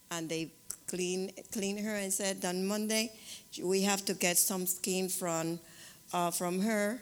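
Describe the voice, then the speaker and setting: Halting woman in a reverberant hall. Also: lowish voice, a bit bright. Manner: halting, searching for words. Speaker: congregation member giving testimony. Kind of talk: personal testimony.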